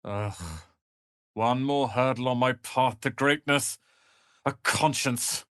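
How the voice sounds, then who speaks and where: A man voicing a proud, sharp-tongued young tiefling wizard's apprentice in a video game, with haughty, theatrical, biting delivery. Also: mid-range voice, steady tone, slow pace, clean tone, no room sound, studio quality. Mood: smug, pompous. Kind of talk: dramatic video game voice acting.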